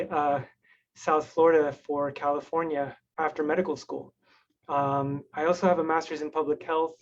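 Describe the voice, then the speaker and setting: A man's voice: a little high, a little mellow. A middle-aged or older man from Miami with an American accent, a pediatrician introducing himself on a panel. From Miami, United States. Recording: dull, lo-fi, with background noise.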